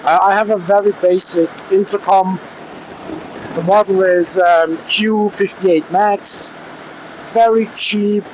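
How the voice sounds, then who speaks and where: A man with a slightly high voice speaks at a slow, halting pace in a casual riding vlog. He is recorded on a helmet intercom mic, with faint vehicle noise behind him. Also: steady tone, rough recording. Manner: hesitant, halting delivery with pauses. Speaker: motorcycle vlogger testing gear. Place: outdoors, riding a motorcycle in traffic. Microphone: helmet intercom microphone.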